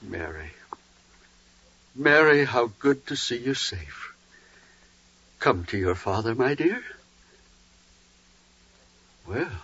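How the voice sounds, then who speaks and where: Anxious, blustering father, swooping pitch. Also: sing-song, slow pace, long pauses, sharp tone, breathy edge, heavily acted. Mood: relieved. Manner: agitated, exclamatory, bewildered. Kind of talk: 1940s radio drama acting. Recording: dull, muffled 1940s radio recording.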